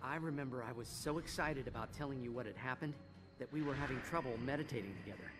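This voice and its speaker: A man's voice: mid-range voice, fast, over music, close to an everyday voice. A man playing an earnest, responsible young turtle leader in a video game, sensible in how he speaks. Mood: reflective. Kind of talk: video game cutscene voice acting.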